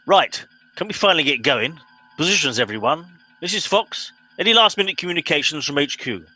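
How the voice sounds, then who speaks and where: Man playing a pompous, sharp-voiced English captain. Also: on the high side, animated, a little fast, faint music behind, heavily acted, low-quality recording. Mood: officious. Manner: pompous, officious, exasperated. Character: pompous, impatient middle-aged spaceship captain. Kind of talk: comic radio play acting.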